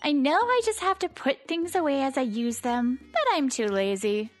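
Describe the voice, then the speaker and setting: A woman's voice: sits high, expressive, normal speed. A woman voicing a bossy but caring teenage delinquent girl in a manga comic dub, casual, blunt and teasing. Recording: background music under the voice.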